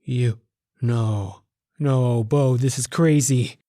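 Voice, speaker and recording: A man's voice: mid-range voice, very slow, warm tone, no echo, clean studio sound. An actor playing a frightened, wrought-up man with a gun in an old-time radio thriller, speaking in a melodramatic way. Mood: horrified, outraged. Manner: overwrought, melodramatic. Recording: music bed behind the voice.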